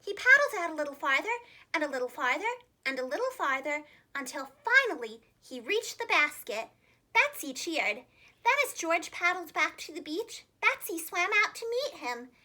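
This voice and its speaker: A woman reading a children's storybook aloud in an expressive, carefully enunciated preschool-teacher style. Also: high-pitched, moderate pace.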